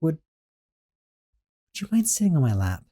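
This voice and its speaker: A doting young sorcerer roommate, as a man plays him, soft-spoken and a bit flustered, his mellow voice rising and falling in lively swings. He talks gently and fondly in an audio roleplay. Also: on the high side, slow pace, heavily acted.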